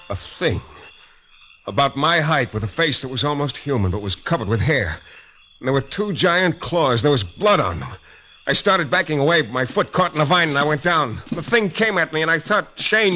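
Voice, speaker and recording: A man's voice: fairly high pitch, sharp tone. An actor playing a tough, wry private detective who narrates his own case in a clipped 1940s radio style. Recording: noisy vintage radio recording.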